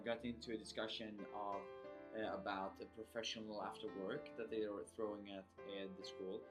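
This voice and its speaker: Low-voiced young man rambling in a vlog. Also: rough recording. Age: young adult. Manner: rambling, self-correcting. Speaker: vlogger. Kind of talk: casual chat to camera.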